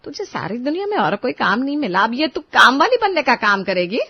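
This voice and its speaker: A woman's voice: fairly high pitch, sing-song, brisk, husky, muffled recording, pitched above the performer's own voice, full character voice. A woman voicing a proud, scandalized elderly mother-in-law who scolds, laments and taunts in a story. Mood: shocked, scolding.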